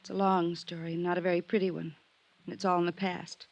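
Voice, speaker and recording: An actress playing a sultry, world-weary drifter stranded abroad in a 1950s radio drama, earnest and pleading, with weary pauses. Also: female voice, lowish voice, level tone, natural voice. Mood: weary, regretful. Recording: old radio drama recording.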